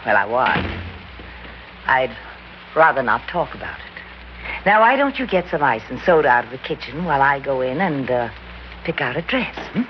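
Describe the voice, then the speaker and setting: A sly, coy schemer. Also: female voice, rumbling low, big pitch swings, moderate pace, pauses often. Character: scheming woman luring a young man into a trap. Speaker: radio drama actress. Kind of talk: 1940s-50s radio drama acting. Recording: muffled vintage radio recording with hiss.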